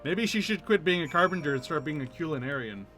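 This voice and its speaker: A man's voice: fairly high pitch, lively intonation, fast, pushed and bright. A man streaming a game, chatting casually as he comments and reads dialogue, with game music behind him.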